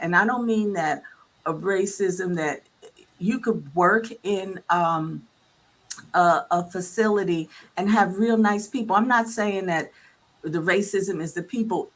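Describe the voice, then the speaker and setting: A woman's voice: medium pitch, a bit bright. A woman physician talking earnestly in a casual panel conversation, pressing her points and tagging them with "okay?".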